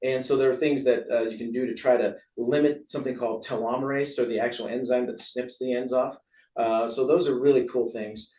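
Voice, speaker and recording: A man's voice: mid-range voice, steady tone, a little fast, a little mellow. Chatty american guy doctor explaining stuff in an interview, kinda rambly, roomy echo, bit far from the mic. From San Francisco, USA. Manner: rambling, chatty, self-deprecating. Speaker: interview guest, physician. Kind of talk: casual explanatory interview talk.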